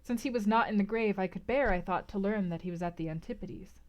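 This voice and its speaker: Lady narrating an old novel out loud, really theatrical, leans into the big phrases. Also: medium pitch, fast talker, rough recording. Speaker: book club host reading aloud. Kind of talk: dramatic audiobook-style literary narration.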